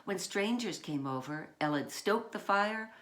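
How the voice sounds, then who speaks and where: A woman who writes children's books, reading aloud and chatting in a storytime style, with deliberate, emphatic phrasing. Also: medium pitch, expressive, a bit bright, slight background noise. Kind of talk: storytime read-aloud with informal asides.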